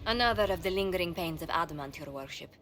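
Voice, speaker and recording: An actress playing a poised court adviser in a fantasy video game, speaking in a formal, official manner. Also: female voice, fairly low pitch, animated, fast, fairly bright tone, a little hiss or hum. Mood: solemn, official.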